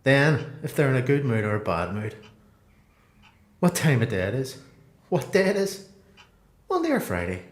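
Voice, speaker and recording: A man with a Belfast accent playing a frustrated prisoner in a radio play, delivering his phrases deliberately, one by one. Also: medium pitch, wildly swooping pitch. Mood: exasperated. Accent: Belfast Northern Irish. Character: frustrated male prisoner who craves consistency. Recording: roomy echo.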